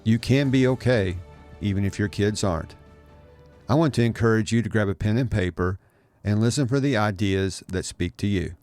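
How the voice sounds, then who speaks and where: A middle-aged man narrating a scripted podcast as host, in a measured, encouraging manner. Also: fairly low pitch, a little mellow, faint music behind. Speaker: podcast host, adoption life coach.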